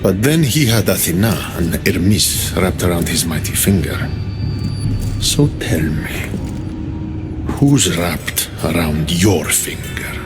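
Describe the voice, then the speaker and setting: Menacing underworld god, deep, slow, theatrical, sardonic, video game villain, loud music behind. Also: male voice, animated. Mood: mocking menace. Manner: theatrical, drawn-out, mock-courteous menace. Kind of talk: video game cinematic acting. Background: loud cinematic game music.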